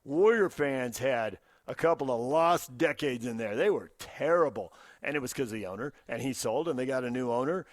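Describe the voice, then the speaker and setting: A man's voice: mid-range voice, expressive, normal speed, few pauses, no echo. A man co-hosting a sports radio show, chatting casually and offering firm opinions from a close studio mic. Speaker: sports talk radio co-host. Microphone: close studio broadcast mic.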